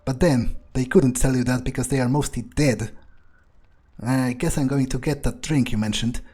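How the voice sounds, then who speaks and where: A man roleplaying a jaded, foul-mouthed criminal turned fledgling vampire in an improvised game playthrough, halting and sardonic. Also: mid-range voice, steady tone, mellow, husky, lightly acted. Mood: sardonic, reluctant.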